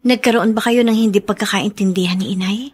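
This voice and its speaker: An actress playing a caring, anxious young wife in a radio drama, coaxing and fussing with concern. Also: female voice, mid-range voice, fairly even intonation, fast talker, darkish tone, husky, close to an everyday voice. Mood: concerned, apologetic.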